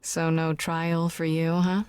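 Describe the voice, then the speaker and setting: A woman's voice: low voice, monotone, slow pace, a little mellow, smooth, no echo. Inquisitive, uneasy young woman, dry sound. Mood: questioning. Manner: inquisitive, plain-spoken, casual. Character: young mortal woman newly made a god, questioning, uneasy. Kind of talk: video game voice acting.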